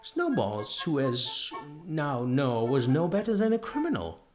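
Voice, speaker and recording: Man acting high-pitched pompous propagandist pig. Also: wildly swooping pitch, unhurried, over music. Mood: oily, emphatic. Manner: pompous, emphatic, persuasive oratory. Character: oily, fervent propagandist pig, a smooth-talking zealot. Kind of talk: audiobook character voice.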